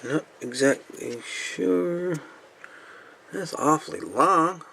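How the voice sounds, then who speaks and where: A man's voice: fairly high pitch, slow, vocal fry, pushed and bright, a little hiss or hum. A man hosting a casual hands-on review and repair video, talking in a folksy, colloquial way. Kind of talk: casual hands-on demonstration talk. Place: indoor workbench.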